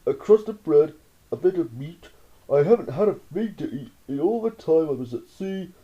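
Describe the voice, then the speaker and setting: A reader voicing a hungry anteater that talks in slow, drawn-out mumbles. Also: mid-range voice, lively intonation, dull audio, close to an everyday voice. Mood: pleading, hungry. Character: starving anteater, slow and pleading, later sly.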